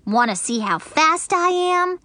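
A woman voicing an energetic, show-off little girl who brags excitedly about running fast. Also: very high voice, no echo, pitched above the performer's own voice, exaggerated voice. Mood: excited bragging. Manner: bragging, excited.

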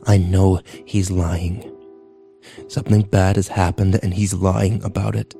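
A man's voice: medium pitch, moderate pace, dark tone, close to an everyday voice. A man voicing an urgent, pleading, hot-headed teenage boy who is distraught, in a dramatic story. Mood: tearful, low. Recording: music behind.

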